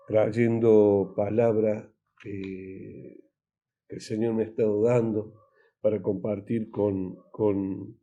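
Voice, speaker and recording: Male pastor, Argentine accent, very mellow tone, slow halting delivery, informal preaching. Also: fairly low pitch, lo-fi sound. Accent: Argentine Spanish. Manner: halting, repetitive, with rhetorical questions. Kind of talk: informal recorded sermon.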